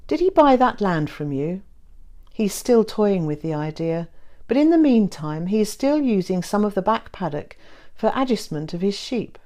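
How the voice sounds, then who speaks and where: A woman voicing a courteous, reassuring gentleman suitor in a romance audiobook, with formal phrasing. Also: mid-range voice, very animated, normal speed, close to an everyday voice. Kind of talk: romance audiobook character reading.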